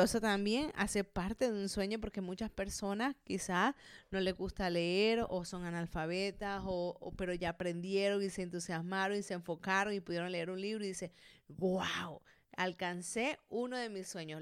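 Clear-voiced Colombian woman giving motivational talk. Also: medium pitch, no room sound, studio quality. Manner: encouraging, addresses the listener directly. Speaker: podcast host and motivational speaker. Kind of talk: motivational monologue, conversational.

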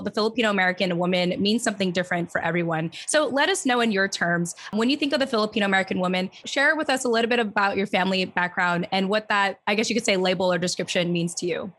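A woman's voice: mid-range voice, fairly bright tone. A woman speaking as a podcast co-host, chatting and interviewing in a conversational, anecdotal way.

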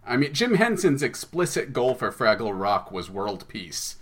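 A man's voice: mid-range voice, big pitch swings, measured pace. A man speaking casually as an interviewed audio drama creator. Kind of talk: casual conversation.

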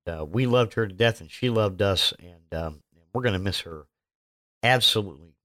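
A man hosting his own podcast, his voice still hoarse from illness, rambling casually with lots of fillers and self-mocking asides. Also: fairly low pitch, normal speed, sharp tone. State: hoarse, recovering voice. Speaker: podcast host. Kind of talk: casual solo podcast monologue.

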